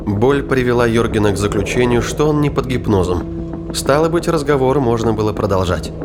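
A man's voice: medium pitch, expressive, a little fast, loud music behind the voice. A man giving scripted dramatic narration as the narrator of an audio drama. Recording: clearly noisy background.

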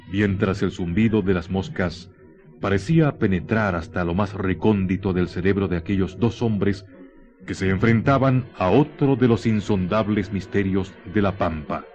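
Dull lo-fi recording, low-voiced male narrator. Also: a little mellow. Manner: solemn, literary. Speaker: radio drama narrator. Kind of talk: scripted dramatic narration. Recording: dull lo-fi 1990s radio recording. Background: music.

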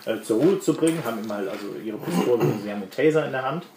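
A man's voice: medium pitch, lively intonation, very fast. A man improvising narration as game master of a tabletop role-playing game. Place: indoor room at a game table. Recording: echoey room with clear background noise.

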